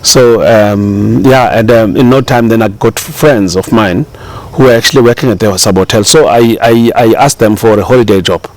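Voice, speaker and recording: A middle-aged South African man with a fairly low voice tells his story in a loose, rambling way. He circles back often, full of fillers and restarts, relaxed and unhurried as an interview guest. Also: low-quality recording. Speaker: chef, guest. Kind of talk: casual interview talk.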